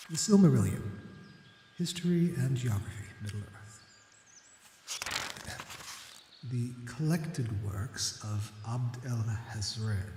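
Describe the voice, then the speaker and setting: Man as a courteous old-world serpent librarian, formal, slow, mellow, fantasy ASMR roleplay, reverberant hall with faint noise. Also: mid-range voice. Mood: musing, weary. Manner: formal, measured, musing. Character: courteous, scholarly male winged serpent, library guardian.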